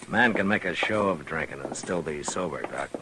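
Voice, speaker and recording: A man's voice: very deep voice, animated, moderate pace. A man playing a stern frontier marshal in an old-time radio western, on a hissy old recording. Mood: laconic, wary.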